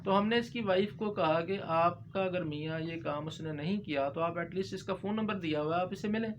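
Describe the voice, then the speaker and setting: Male lawyer-presenter, Pakistani accent, fairly high voice, explanatory delivery with English legal terms, slightly noisy recording. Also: a little fast. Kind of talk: informal legal explanation to viewers.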